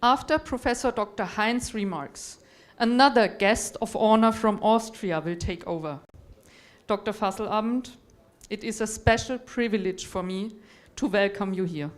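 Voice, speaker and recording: A woman's voice: medium pitch, normal speed. A woman from Europe moderating a university conference panel, formally introducing guests and reading out their biographies.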